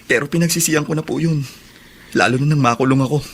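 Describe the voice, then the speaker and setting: A man's voice: on the high side, fast talker. A man playing a hurt, defiant younger brother in prison in a Filipino radio drama. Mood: hurt, wounded.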